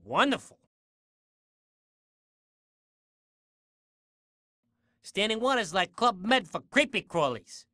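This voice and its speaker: Bright, high-voiced wisecracking little sidekick. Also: male voice, sing-song, normal speed, no room sound, clean studio sound, exaggerated voice. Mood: sarcastic. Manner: chirpy, glib, jokey. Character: chatty, eager, wisecracking small undead male sidekick. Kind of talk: video game cutscene acting.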